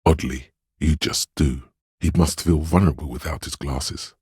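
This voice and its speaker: An actor playing a grave, compassionate inner voice of empathy in a game, narrating in a measured, solemn and tender way. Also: male voice, a bass voice, fairly even intonation, a little mellow, husky, no room sound, studio quality, exaggerated voice. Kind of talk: dramatic game narration, second person.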